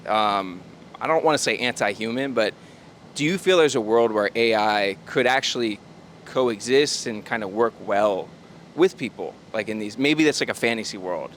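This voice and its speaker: A man's voice: fairly low pitch, normal speed, a bit bright, a little hiss or hum. A man interviewing a guest outdoors, agreeable and easygoing, nodding along with short affirmations. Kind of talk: casual street interview. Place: city street outdoors.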